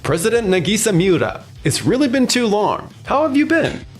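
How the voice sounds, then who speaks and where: A man's voice: high-pitched, big pitch swings, moderate pace, soft background music. A man playing a cocky, smug young salesman in a manga dub. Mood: pleading, ingratiating. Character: cocky, smug male top salesman who steals brides.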